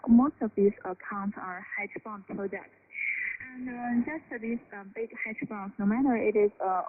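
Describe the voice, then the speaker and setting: A woman explaining haltingly as an analyst in a phone interview on shortwave radio. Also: medium pitch. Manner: halting, with frequent hesitations. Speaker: financial analyst guest. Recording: phone line over noisy shortwave radio.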